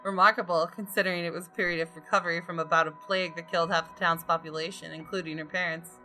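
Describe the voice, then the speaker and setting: A woman reading visual novel narration aloud with deliberate, dramatic storytelling. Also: lowish voice, steady tone, sharp tone. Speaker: gaming video host. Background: music.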